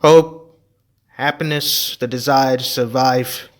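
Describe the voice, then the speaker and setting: A man voicing a kindly adult male wizard teacher who speaks slowly and emphatically. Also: mid-range voice, steady tone, voice pushed up, natural voice. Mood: instructive. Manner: slow, emphatic and instructive.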